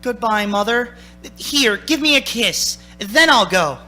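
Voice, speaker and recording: Guy acting a haunted 30s man in an old radio thriller, high tense voice, dramatic telling. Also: expressive, slow, sharp tone, faint music behind, full character voice. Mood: reassuring, cheerful. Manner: urgent, dramatic first-person narrating. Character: anxious, haunted man of thirty-six recounting his road trip.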